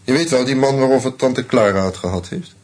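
An actor playing Jules, a young actor under suspicion who answers tersely and coolly in a radio play. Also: male voice, medium pitch, brisk, darkish tone, lightly acted. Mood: guarded, cool. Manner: terse, guarded, clipped. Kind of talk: radio drama acting.

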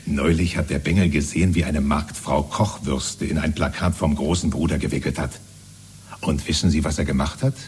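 An actor playing a hearty, gullible party-loyal neighbour in a 1970s radio drama, chummy and blustering. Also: male voice, very deep voice, fairly even intonation, normal speed, darkish tone, breathy edge, full character voice. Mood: chummy, boastful. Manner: chummy, blustering, repeatedly matey.

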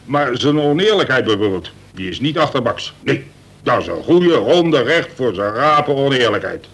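Radio play: blustering colonel running a prison, commanding, easily indignant, bright hard-edged delivery at medium pitch. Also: male voice, very animated, normal speed, vocal fry, breathy edge, heavily acted. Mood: grudging admiration. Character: blustering, exasperated male prison governor, a colonel.

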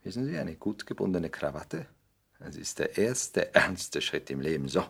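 Ein Schauspieler spielt in einem Hörspiel der 1960er einen zynischen englischen Aristokraten, geschliffen, weltgewandt und herablassend. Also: male voice, sits low, sing-song, normal speed, vocal fry, a bit bright, husky, full character voice. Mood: suave, persuasive. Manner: polished, epigrammatic, worldly, condescending. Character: suave, cynical English aristocrat dandy, lecturing a young man. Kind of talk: 1960s German radio play acting.

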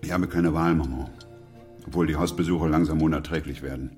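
An actor playing a sober, dutiful doctor who also narrates a radio drama, in a measured and restrained manner. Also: male voice, rumbling low, fairly even intonation, darkish tone. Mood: weary, matter-of-fact. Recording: music behind the voice. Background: music.

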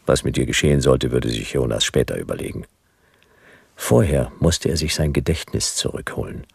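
Gravelly, very deep world-weary detective. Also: male voice, moderate pace, no room sound, exaggerated voice. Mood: dry, laconic. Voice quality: gravelly, husky. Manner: laconic, dry. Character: world-weary male private detective of the future. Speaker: narrator and lead character. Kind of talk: scripted noir radio drama narration.

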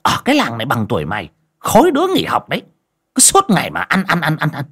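A man's voice: a little high, huge pitch swings, fast, a bit bright, breathy edge, voice pushed up, full character voice. A man voicing a vicious, bullying stepmother in a story, snarling and scolding coarsely. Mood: menacing.

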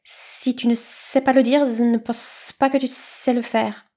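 A woman voicing an earnest, naive little male snake in a storybook, slow and hissing. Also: fairly high pitch, flat delivery, warm tone, voice pushed up. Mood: prim.

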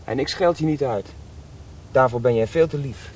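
A man's voice: medium pitch, normal speed, a little mellow, far from the mic, a little hiss or hum, lightly acted. An actor playing an idealistic seventeen-year-old rebel boy in love, speaking earnestly and fervently in radio drama style. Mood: tender, protective.

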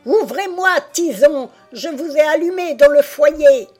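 A woman's voice: fairly high pitch, slow pace, darkish tone, soft background music. A woman voicing a furious old witch in a storybook tale, grating and commanding. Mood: enraged. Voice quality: grating, screeching. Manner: commanding, impatient, menacing. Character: furious shrieking old witch, night washerwoman from the marsh.

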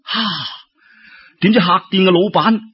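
A man's voice: high voice, fairly even intonation, normal speed, breathy edge. A man with a Cantonese accent narrating a folk tale in colloquial oral storytelling style. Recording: dull lo-fi radio-style recording.

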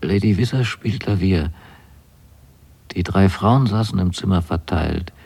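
An actor playing an earnest, rapturous son in a radio play, narrating a letter to his mother in measured literary phrases. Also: deep voice, gravelly, darkish tone, husky, exaggerated voice. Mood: dreamy, contented.

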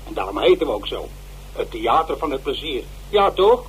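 A man's voice: medium pitch, very animated, moderate pace, husky, low-quality recording. An actor playing a fussy, gossipy man of the theatre in a radio drama, indignant and scornful. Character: peevish, gossipy male comedy-theatre player, indignant and fussy. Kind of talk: Dutch radio drama monologue.

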